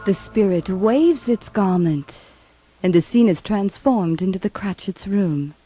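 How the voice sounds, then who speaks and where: A woman narrating a ghostly radio drama in a solemn, measured, dramatic storytelling style. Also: medium pitch, animated, mellow. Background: music.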